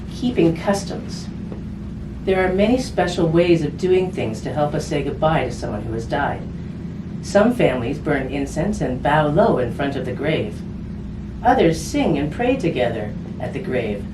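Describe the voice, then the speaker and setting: A woman reading a picture book aloud to children, addressing them directly and explaining things simply. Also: low voice. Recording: distant mic, roomy echo, clear background noise.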